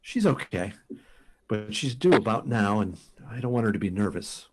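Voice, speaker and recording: A man's voice: on the low side, expressive, moderate pace, noisy background. A man playing a cocky, wisecracking rocket test pilot in a 1950s radio drama style. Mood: casual, wry. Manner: breezy, wry.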